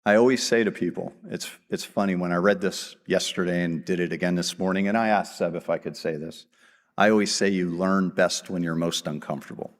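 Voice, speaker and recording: A man with a fairly low voice reads a formal prepared speech from a convention stage. He speaks in a measured, scripted way. The big hall gives his voice a long, lingering reverb. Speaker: association executive introducing the keynote.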